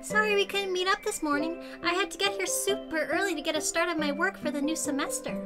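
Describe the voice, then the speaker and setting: A woman voicing a sharp-tongued, possessive teenage schoolgirl in a visual novel, with pointed delivery. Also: very high-pitched, steady tone, quick pace. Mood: sweet, clingy. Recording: loud music behind.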